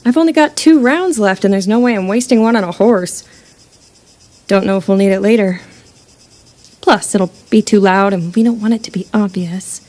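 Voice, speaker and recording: A woman's voice: medium pitch, moderate pace, dark tone, natural voice. A woman playing a pragmatic, commanding runaway leader in an apocalyptic western audio drama, firm and persuasive. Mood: pragmatic, scheming. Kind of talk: live-streamed audio drama acting.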